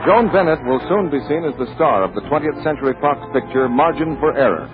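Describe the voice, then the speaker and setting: A man's voice: a little high, lively intonation, fairly quick, over loud music. A man reading formal announcements in the style of 1940s network radio. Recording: tinny old radio broadcast.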